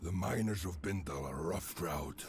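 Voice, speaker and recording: An actor playing a stern, world-weary narrator in scripted fantasy game narration. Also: male voice, low-pitched, moderate pace, slight background noise. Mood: grim, measured.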